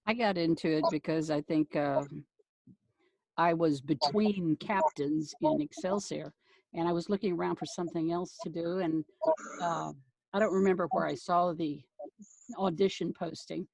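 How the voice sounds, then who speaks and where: A woman who does amateur voice acting, chatting casually on a live stream in a halting, thinking-aloud way. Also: on the low side, fairly even intonation, gravelly, a little mellow, dry recording, low-quality recording. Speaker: volunteer audio drama voice actor.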